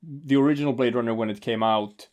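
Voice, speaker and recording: A man's voice: mid-range voice, quick pace, a little mellow. A man co-hosting a casual movie commentary, rambling with lots of fillers and restarts. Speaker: podcast co-host.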